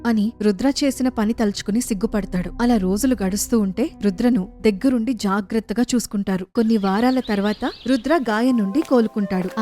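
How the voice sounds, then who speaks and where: A woman reading scripted narration for an animated moral story. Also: a little high.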